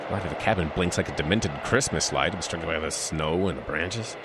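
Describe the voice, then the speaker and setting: A man's voice: sits low, brisk, lightly acted. A man playing a freezing, anxious groom-to-be in a horror audio drama, fretfully talking to himself, in a noisy recording. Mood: anxious, exasperated.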